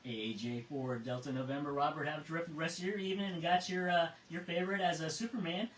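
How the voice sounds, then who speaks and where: A middle-aged or older man acting as net control on a ham radio net, talking casually through check-ins and often halting to repeat words. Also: a little high, fairly even intonation, fairly bright tone. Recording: roomy, distant mic, faint noise.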